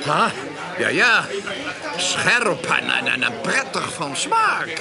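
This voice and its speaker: Flustered merchant, high swooping voice, eager, repetitive stammer, period radio play. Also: male voice, huge pitch swings, creaky, exaggerated voice. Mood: eager, delighted. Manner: stammering, repeating himself, slow. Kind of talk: Dutch radio play acting. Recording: radio play with busy tavern chatter.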